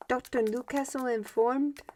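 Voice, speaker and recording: A woman's voice: high voice, fairly even intonation, a little slow, mellow, a little hiss or hum. A woman playing a polite, deferential servant in an audio drama, hesitant and respectful in manner. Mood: dutiful, respectful.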